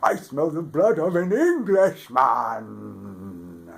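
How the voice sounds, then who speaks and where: A man voicing a huge, menacing male giant in a storybook reading, booming and strained. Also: animated, drawn-out words, vocal fry, exaggerated voice. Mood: roaring.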